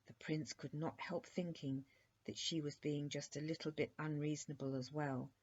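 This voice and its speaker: A woman reading a children's bedtime story aloud as an expressive narrator. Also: low voice, moderate pace, husky. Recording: dull lo-fi recording.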